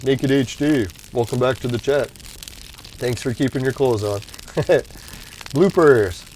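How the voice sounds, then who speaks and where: A man's voice: medium pitch, a little slow, a little mellow, a little hiss or hum, rough recording. A man hosting a live stream, chatting casually with viewers in a rambling, laid-back way, laughing often and losing his voice. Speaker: livestream host.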